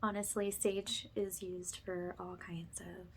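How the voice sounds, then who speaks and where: A soft-spoken woman who often drops into a whisper as she gently guides an ASMR spiritual cleansing. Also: on the low side, little pitch movement, slow pace, gravelly, slight background noise. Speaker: ASMR energy healer, host.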